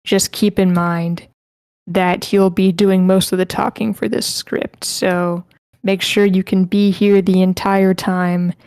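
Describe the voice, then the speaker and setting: A woman's voice: lowish voice, dark tone, smooth, no room sound. A woman hosting an online script table read in a casual, chatty livestream style. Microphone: close mic with a sock over it, online voice call.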